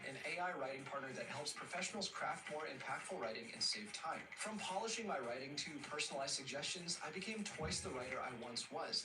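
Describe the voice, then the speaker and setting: Fast-talking male ad narrator. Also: a little high. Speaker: advertisement narrator. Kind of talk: scripted testimonial ad read. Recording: roomy, distant playback with noise.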